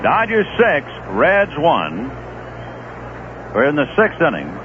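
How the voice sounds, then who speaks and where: Guy doing baseball play by play on old radio, voice rises and falls a lot, chatty and storytelling, thin phone-like sound. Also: slow pace. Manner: relaxed storyteller who drifts into anecdotes between pitches. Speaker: play-by-play announcer. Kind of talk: live baseball radio commentary. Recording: tinny lo-fi 1970s radio broadcast with faint hiss.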